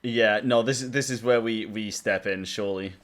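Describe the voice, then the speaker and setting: A man's voice: fairly low pitch, normal speed, a bit bright. A man hosting a gameplay reaction video, talking casually with joking asides, exclamations and swearing. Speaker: gaming video host. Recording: faint noise, game audio behind.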